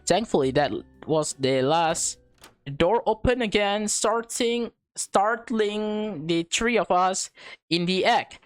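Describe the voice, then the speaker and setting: A woman's voice: medium pitch, slow pace, clear, resonant tone, faint music behind. A woman reading a visual novel aloud as a let's play, switching between narration and casual commentary. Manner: reacts aloud in informal asides.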